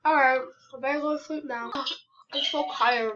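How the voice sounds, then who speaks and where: A woman's voice: high-pitched, steady tone, moderate pace, a bit bright, slight background noise. A young girl in casual game roleplay, bossily directing the pretend play over a dull, muffled home mic. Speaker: player voicing a roleplay video.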